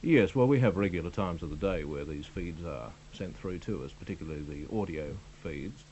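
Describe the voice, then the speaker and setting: Deep-voiced Australian man, halting speech. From Australia, Melbourne. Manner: hesitant, many ums and uhs. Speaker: radio newsreader. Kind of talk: studio interview chat. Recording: radio broadcast with steady hum.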